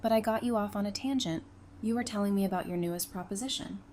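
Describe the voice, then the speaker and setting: A woman's voice: medium pitch, fast, darkish tone, slight background noise, close to an everyday voice. A woman playing an affectionate, playful newlywed in an audio drama, speaking in a casual, teasing way. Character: affectionate, playful newlywed bride, practical and bright-minded.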